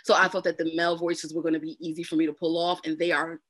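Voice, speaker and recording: A woman's voice: low voice, level tone, fast talker, a bit bright, no echo. A woman with a New Jersey accent, a voice narrator talking casually as an interview guest, full of fillers and repeats. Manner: chatty, uses lots of fillers and repeats.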